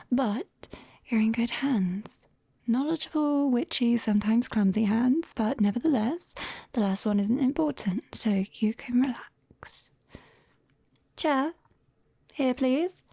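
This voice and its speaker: A British woman playing a caring, clumsy young witch in a soft, often whispered ASMR roleplay, breathy and gently teasing, close to the mic. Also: fairly high pitch, no room sound, full character voice. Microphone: close binaural microphone, dry.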